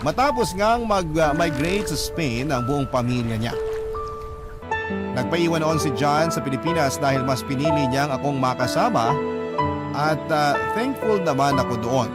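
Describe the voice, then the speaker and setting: A man's voice: on the high side, expressive, moderate pace. A man reading out a confessional love story as a radio storyteller, in a dramatic narrating style. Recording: loud music bed under voice.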